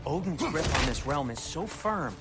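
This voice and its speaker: An actor playing a fussy, squeamish dwarf smith who talks in a prim, fretful way, in a video game cutscene. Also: male voice, a little high, animated, moderate pace, over loud music. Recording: game audio with clear ambient noise.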